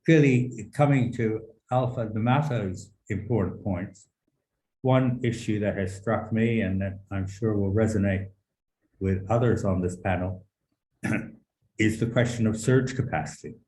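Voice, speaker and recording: A man giving formal policy remarks as a panel speaker, measured and deliberate with long halting pauses and erudite phrasing. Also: lowish voice, warm tone. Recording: roomy echo with some background noise.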